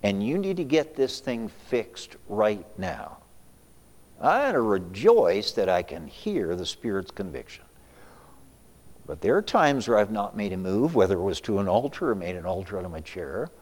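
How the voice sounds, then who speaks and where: A middle-aged pastor preaching a sermon, repeating his key questions and moving between loud emphasis and quiet asides. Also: on the low side, very animated, moderate pace.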